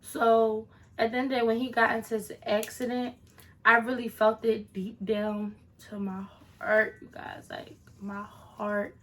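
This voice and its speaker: A woman's voice: a little high, flat delivery, unhurried. A woman of twenty-one answering viewer questions in a casual vlog, chatty with sassy asides to her viewers. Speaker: vlogger.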